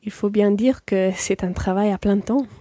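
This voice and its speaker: A woman plays a friendly mother in a learners' radio play. Her voice is very dark and mellow, mid-pitched, chatting over dinner. The sound is close and dry. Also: normal speed, no echo, close to an everyday voice. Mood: conversational. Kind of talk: scripted language-course radio play.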